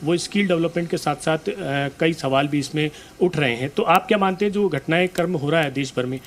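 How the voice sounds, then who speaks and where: A man reporting in the field, asking protesting young men questions, with halting fillers. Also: on the high side. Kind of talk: street interview questions.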